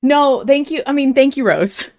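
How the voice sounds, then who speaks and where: A woman hosting a fan podcast, chatting excitedly in an emphatic, gushing, halting way. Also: high voice. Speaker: podcast host.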